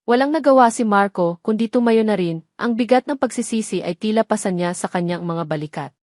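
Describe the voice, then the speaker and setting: A woman's voice: mid-range voice, animated, fairly quick, a little mellow, no echo. Solemn woman narrator, very dry sound. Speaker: story narrator. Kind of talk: dramatic scripted romance narration in audio-drama manner.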